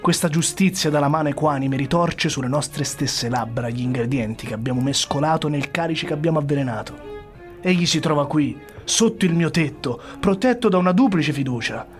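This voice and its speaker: Fairly high male voice of an actor, tormented ambitious warrior, brooding theatrical radio drama, clear music behind. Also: fairly quick, lightly acted. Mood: brooding, conflicted. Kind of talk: Italian radio drama acting.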